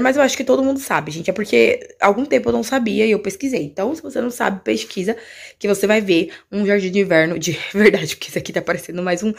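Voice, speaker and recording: A young Brazilian woman chats informally to her camera at a quick clip. Her voice is hoarse and creaky. It sounds like a home vlog shot in a bare, echoey room. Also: medium pitch, a little mellow. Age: 20s. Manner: chatty, informal. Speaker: vlogger. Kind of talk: casual chat to camera. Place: small tiled room at home, indoors.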